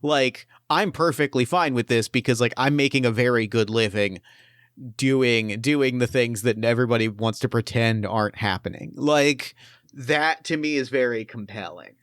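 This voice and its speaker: A man running a tabletop game as game master, thinking aloud and pitching story ideas with eager restarts. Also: moderate pace, fairly bright tone, clean tone, dry recording, studio quality. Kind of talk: collaborative planning for a tabletop game.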